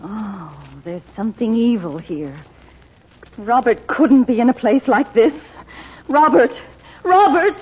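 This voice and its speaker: A woman's voice: a little high, expressive, slow, slightly rough, full character voice. An actress playing an anxious mother searching for her son, speaking fearfully in an old radio drama. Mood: frightened. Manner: fearful, breathless. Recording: muffled old radio transcription.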